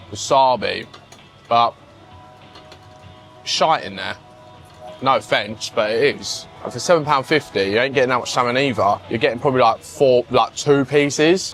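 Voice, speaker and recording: A man chatting straight to camera in a relaxed vlog, blunt and talkative, with the odd swear word thrown in. His voice sits at an ordinary middle pitch. Some faint music plays softly behind him. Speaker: fitness vlogger. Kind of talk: casual vlog chat.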